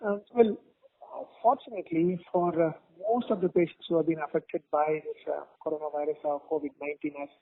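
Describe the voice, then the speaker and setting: A man speaking as a doctor in a phone interview, giving formal, halting, measured explanations over a narrow phone line. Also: on the high side, steady tone. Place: phone call. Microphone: telephone.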